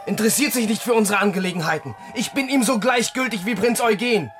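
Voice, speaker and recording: A man plays a young Prussian crown prince in a radio drama. He is proud and defiant, declaiming theatrically in a fairly high young male voice. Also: quick pace, over loud music, close to an everyday voice. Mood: defiant, impassioned. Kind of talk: German radio drama acting.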